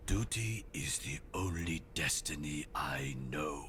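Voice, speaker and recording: A man's voice: a bass voice, lively intonation, slow, fairly bright tone, husky, full character voice. An actor playing a brooding, solemn man in a video game, with dramatic delivery.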